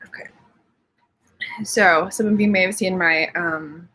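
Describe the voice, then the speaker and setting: A woman's voice: lowish voice, fairly even intonation, unhurried, bright, forceful tone. A young woman with slightly sniffly allergies, chatting casually from home on a live stream and reading out viewer comments. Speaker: livestream host.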